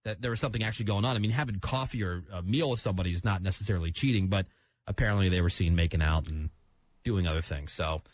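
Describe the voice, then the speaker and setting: A man's voice: sits low, fast talker. A man hosting a conversational live radio show, talking informally to listeners and a caller.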